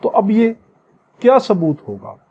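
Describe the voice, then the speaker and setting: A man's voice: high voice, big pitch swings, a little slow, mellow, breathy edge, highs cut off. A man with a Pakistani accent teaching law in an exam-prep lecture, reading clauses aloud and explaining them emphatically, repeating key points.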